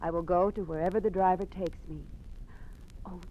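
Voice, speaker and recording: A woman's voice: lowish voice, fairly even intonation, a little fast, natural voice. An actress playing an anxious, devoted young woman in an old-time radio drama, speaking earnestly and carefully on a muffled, noisy old recording. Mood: tense, obedient.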